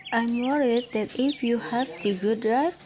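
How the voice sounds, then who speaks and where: A woman's voice: on the high side, unhurried, a little mellow, slight background noise, lightly acted. A woman with an Indonesian accent playing a worried, disapproving mother in an amateur radio drama, with hesitant lines. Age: young adult.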